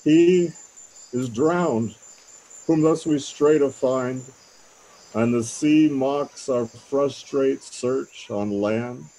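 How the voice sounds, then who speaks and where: Mellow, slow-spoken weary king played by a man. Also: medium pitch, rough recording. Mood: despondent. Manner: grave, measured, regal. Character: grieving, world-weary older king. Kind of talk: Shakespearean radio play acting.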